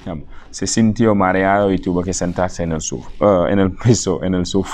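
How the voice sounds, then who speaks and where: Man, Senegalese accent, native Wolof speaker, slightly low voice, informal language teacher, repetitive, code-switching. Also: steady tone, moderate pace, darkish tone. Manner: repetitive, mixes languages while explaining. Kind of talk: informal vocabulary lesson, repeating and explaining phrases.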